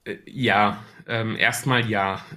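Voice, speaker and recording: A man's voice: fairly low pitch, steady tone, slow pace, pushed and bright. A man with a Hessian accent, a podcast guest talking about marketing in a thoughtful way with many filler sounds. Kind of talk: casual podcast interview.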